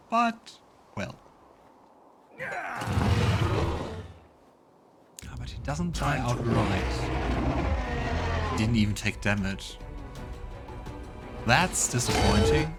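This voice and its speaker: A man's voice: mid-range voice, very animated, slow, long pauses. A man hosting a let's play, mixing casual game commentary with narration read aloud. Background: game music.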